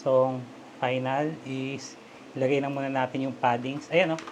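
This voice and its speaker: A man's voice: medium pitch, level tone, normal speed. A Filipino man giving a casual step-by-step DIY demonstration, talking informally as he works with his hands. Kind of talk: casual step-by-step tutorial talk. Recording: clear background noise, ambient sound.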